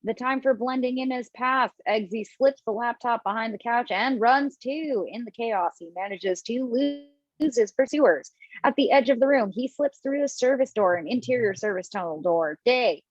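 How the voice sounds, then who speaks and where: A woman's voice: fairly high pitch, moderate pace, no echo. A woman reading the stage directions at a video-call table read, now and then laughing at her own slips. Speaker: script reader. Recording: dull lo-fi home mic.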